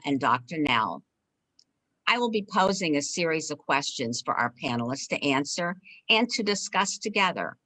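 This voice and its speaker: A woman's voice: sits low, bright, forceful tone. A woman moderating an online panel, upbeat and formal as she introduces the panelists and poses questions.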